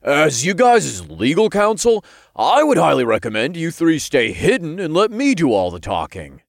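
A man's voice: on the high side, wildly swooping pitch, normal speed, vocal fry, no room sound, clean studio sound, pitched above the performer's own voice, full character voice. A man voicing a brash, theatrical, self-important imp in an audiobook story. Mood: bossy. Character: cocky, slangy male imp, self-important sidekick.

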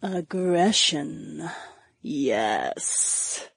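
A woman voicing a feral, curious female imp in an audiobook, husky and halting as she echoes words. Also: sits low, expressive, studio quality, full character voice. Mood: tentative. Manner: halting, drawn-out echoing of single words. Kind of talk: audiobook character acting.

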